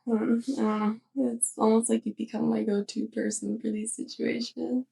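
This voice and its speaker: A woman playing a young, clumsy, affectionate witch neighbor in a soft, hesitant ASMR roleplay monologue, speaking straight to the listener. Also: fairly high pitch, little pitch movement, vocal fry, breathy edge, heavily acted, low-quality recording. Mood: fond, musing. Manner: hesitant, halting, giggly.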